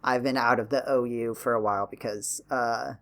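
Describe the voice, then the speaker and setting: A man speaks as a casual podcast host at a middling pitch. He rambles a little and has a wry way of clarifying his own points. The talk is conversational and unscripted. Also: steady tone, moderate pace. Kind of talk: casual video game chat.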